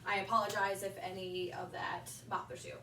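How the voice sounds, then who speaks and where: Young woman, fitness instructor, bright and slightly nasal, called from across an echoey room. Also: medium pitch, level tone, a little hiss or hum. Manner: upbeat instructing cues, brief pauses between moves. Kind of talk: guided exercise class. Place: large indoor wellness room with wood floor. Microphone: far camera on a tripod. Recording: roomy echo, voice from far off.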